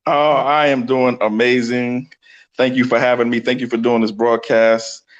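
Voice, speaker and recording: A man's voice: mid-range voice, level tone, normal speed, highs cut off. A man from New City, a guest explaining his organizing work earnestly, thinking aloud. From New York City. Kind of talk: casual explanatory interview talk.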